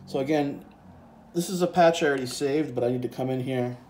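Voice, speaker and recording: A man with a mellow, mid-pitched voice walks through a piece of music gear step by step. His delivery is informal and laid-back, as if thinking aloud. The recording is a handheld phone video with faint background noise. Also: brisk. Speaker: presenter of a music gear tutorial. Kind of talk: casual hands-on software and synth demonstration. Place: indoors beside a synthesizer keyboard. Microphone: handheld phone and separate handheld microphone.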